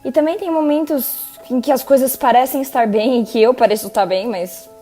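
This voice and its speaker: An actress playing a worn-out, anxious young woman in therapy, answering in hesitant, unsure bits. Her voice sits a little high but sounds mellow and soft, with faint music behind. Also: brisk, natural voice. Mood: weary. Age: teens. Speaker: patient. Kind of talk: audio drama acting.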